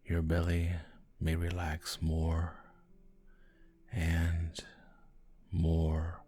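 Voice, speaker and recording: A man guiding a sleep hypnosis in a soft, calming, gentle voice with long pauses between short phrases. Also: low voice. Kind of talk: guided sleep hypnosis, soothing narration. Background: background music.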